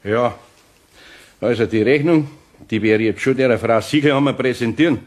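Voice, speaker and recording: A man's voice: medium pitch, moderate pace, lightly acted. A man playing a good-natured, grumbling older master craftsman in a radio play, speaking in a folksy, muttering way with a Bavarian accent. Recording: noisy old cassette radio play.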